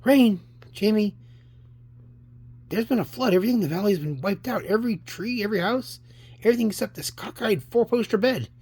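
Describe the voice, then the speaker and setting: Worried father, acted by a story reader, raised pitch, husky, urgent questioning, comic book tale. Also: a little fast, a little mellow, close to an everyday voice. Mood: worried, alarmed. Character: worried father searching for his son.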